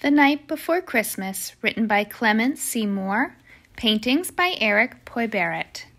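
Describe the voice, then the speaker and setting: A woman reading a rhyming children's picture book aloud as a storyteller, with expressive, measured delivery. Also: fairly high pitch. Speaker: children's story reader and host.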